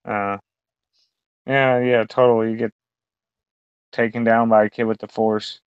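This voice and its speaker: A man's voice: on the low side, little pitch movement, measured pace, clear, resonant tone, dry recording. A man co-hosting a podcast, rambling through a casual, crude chat full of fillers and swearing. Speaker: podcast co-host. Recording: dull lo-fi sound.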